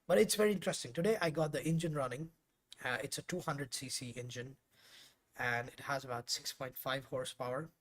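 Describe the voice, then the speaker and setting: A man's voice: medium pitch, a little fast, a bit bright. Chatty man, native Urdu speaker. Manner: chatty and rambling, with frequent fillers and self-corrections. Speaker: host of a live coding stream. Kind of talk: casual live-stream talk to viewers.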